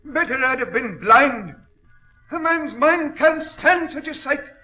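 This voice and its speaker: An earnest veteran miner in an old radio thriller. The voice is strikingly high and sharp. Words come slowly, with urgent melodrama. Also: male voice, expressive, exaggerated voice. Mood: anguished horror. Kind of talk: 1940s radio acting. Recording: old-time radio drama recording.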